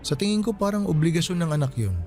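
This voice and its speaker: A younger family friend in a radio drama, with a warm, mellow voice over soft music. He is polite and earnest, sentimental and a little stubborn in his opinions. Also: a little high, animated, fast talker. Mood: saddened, insistent. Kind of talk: Tagalog radio drama acting. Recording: music playing behind the voice. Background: background music.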